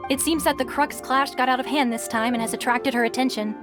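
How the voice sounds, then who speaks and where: A woman's voice: high-pitched, fairly even intonation, brisk, close to an everyday voice. A woman voicing a polite, formal female ship crew member in a video game. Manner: courteous and formal. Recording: game music behind.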